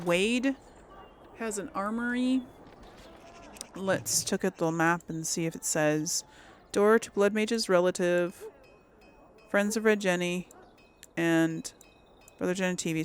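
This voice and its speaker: A woman's voice: mid-range voice, slight background noise. A woman hosting a casual gaming video, chatting and thinking aloud as she role-plays. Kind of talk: casual role-play game commentary.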